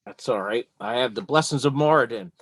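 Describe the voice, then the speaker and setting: A man's voice: mid-range voice, brisk, a bit bright, slightly rough, dry recording. A man playing a tabletop role-playing game, talking casually and partly in character.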